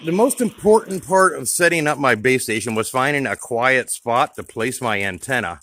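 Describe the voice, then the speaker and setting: A man's voice: medium pitch, animated, moderate pace, sharp tone. An American man speaks in a mid-range voice. He has a folksy, chatty manner and talks straight to his viewers in an easygoing vlog style, as a radio hobbyist would. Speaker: YouTube vlogger, CB radio hobbyist. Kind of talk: casual vlog talk to camera.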